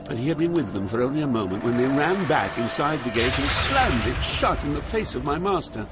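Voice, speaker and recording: An older actor with a British accent playing a grave poet, giving solemn, measured narration in an audio drama. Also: male voice, mid-range voice, big pitch swings, over loud music, lightly acted. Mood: tense recollection. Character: grave older poet recounting his journey through hell.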